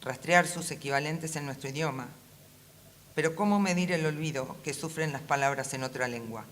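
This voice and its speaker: A woman with a Rioplatense Argentine accent reads a prepared talk aloud in a measured, formal, literary manner as a panel speaker. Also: sits low. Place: conference room at a book fair. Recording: faint ambient event noise.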